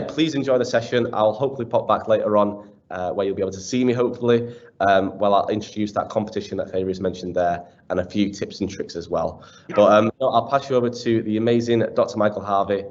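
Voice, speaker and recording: A man's voice: on the low side, fast talker, few pauses. A man hosting a webinar, introducing the speaker in an upbeat, chatty way with fillers. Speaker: webinar host. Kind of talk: casual introduction. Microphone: video call. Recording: muffled online call audio.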